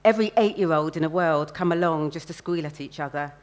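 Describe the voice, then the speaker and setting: A woman's voice: sits low, quick pace. A woman, an author reading first-person fiction aloud expressively at a live event.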